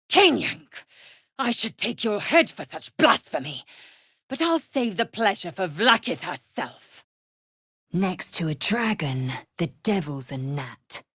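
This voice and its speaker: A woman voicing a fierce, proud alien warrior woman in a video game, scornful and haughty, with clipped, formal phrasing and a husky, breathy voice. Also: mid-range voice, sing-song, a little slow, a bit bright, no room sound, clean studio sound, heavily acted. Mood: angry, contemptuous. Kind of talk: video game character voice lines.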